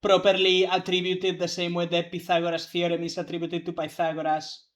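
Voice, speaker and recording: A young adult law student talking in loose, casual chat. The delivery rambles and circles back, padded with hedges and fillers, at an ordinary pitch for the voice. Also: completely flat delivery, brisk. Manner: rambling, hedging with many fillers. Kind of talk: casual chat among players.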